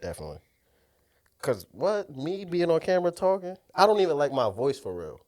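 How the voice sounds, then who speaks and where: A man's voice: on the high side, very animated, a little fast, darkish tone. A man chatting casually as a podcast co-host, with a congested, nasal voice and slangy talk where he repeats himself for emphasis. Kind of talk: casual banter.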